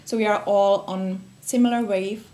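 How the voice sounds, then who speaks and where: A woman's voice: medium pitch, fairly even intonation, normal speed, dark tone. A young woman from the Czech Republic with a Czech accent, giving a friendly, casual talk to a small group with plenty of fillers and restarts. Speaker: speaker giving a workshop talk. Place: room with a group of listeners.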